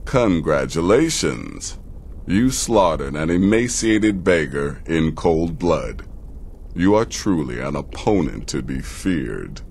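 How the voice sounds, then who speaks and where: A mocking, world-weary killer from a fantasy game. The voice is extremely deep and gravelly, and he savours each word slowly. Dry sarcasm colours the delivery, with faint ambience behind. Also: sing-song, a little mellow, a little hiss or hum, exaggerated voice. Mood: dry mockery. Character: sardonic hooded male assassin handing out contracts.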